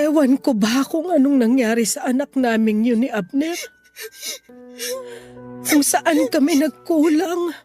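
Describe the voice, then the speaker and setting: Slow-speaking, weary old grandmother. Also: sits high, warm tone, soft background music. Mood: tearful longing. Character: sick, weary, tender grandmother near sixty, forgiving. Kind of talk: Tagalog radio drama acting.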